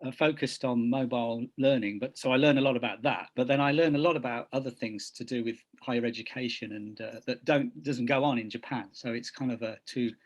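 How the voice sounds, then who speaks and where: A man's voice: mid-range voice, dull audio. A man who teaches English at a university, introducing himself on a video call in a hesitant, self-effacing way, often repeating words.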